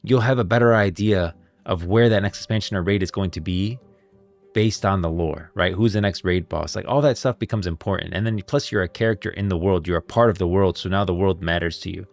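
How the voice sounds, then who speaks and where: A man hosting a casual gaming livestream, chatting informally, thinking aloud in a halting way and repeating phrases. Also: deep voice, vocal fry, soft background music. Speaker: streamer, host of a gaming lore stream. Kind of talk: casual livestream chat, reading posts aloud.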